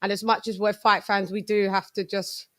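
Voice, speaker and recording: A British woman hosting a live boxing stream, chatting casually in an effusive, slangy way with shout-outs. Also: medium pitch, little pitch movement, normal speed.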